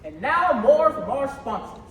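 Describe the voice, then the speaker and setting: A man's voice: very high voice, sing-song, unhurried, full character voice. A young man playing a punchy announcer reading a 1940s radio advertisement in a declamatory sales style. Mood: salesmanlike. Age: teenager or young adult. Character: punchy old-time radio sponsor announcer. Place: school theater stage. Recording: echoey stage, distant mic, loud noise.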